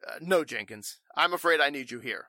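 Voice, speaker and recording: A man's voice: fairly high pitch, lively intonation, brisk, bright, forceful tone, no echo, studio quality. A man playing a flustered, evasive warehouse owner in 1940s radio drama style, stammering and speaking with stuffy formality.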